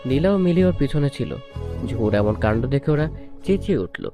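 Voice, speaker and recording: A man's voice: medium pitch, lively intonation, normal speed. A man reading a scripted romantic story as a narrator. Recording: music bed under the voice. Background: prominent instrumental music.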